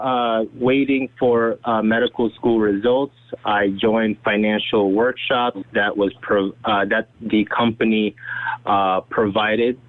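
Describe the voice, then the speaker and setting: A young man presenting himself to a group on a conference call. He talks quickly and chattily and keeps checking in with "right?" and "okay?". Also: lowish voice, no room sound. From Saudi Arabia. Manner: fast, chatty, uses "right?" and "okay?" often. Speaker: guest presenter. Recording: tinny phone line.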